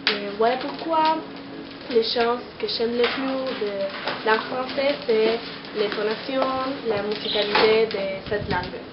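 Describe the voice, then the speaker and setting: Slow, hesitant woman in a noisy room. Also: fairly high pitch. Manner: hesitant, halting delivery. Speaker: student of French. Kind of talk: prepared personal testimony in French as a learner. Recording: muffled, echoey, noisy amateur recording.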